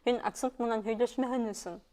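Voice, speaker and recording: Woman host asking slow questions. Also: a little high, fairly even intonation, warm tone. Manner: switching between two languages, slow deliberate questions. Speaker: podcast host, interviewer. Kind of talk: interview questions.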